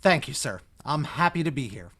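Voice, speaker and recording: A man's voice: mid-range voice, big pitch swings, a little fast, fairly bright tone. A man playing a polite, eager young bank newcomer with a deferential manner in a musical theatre table read.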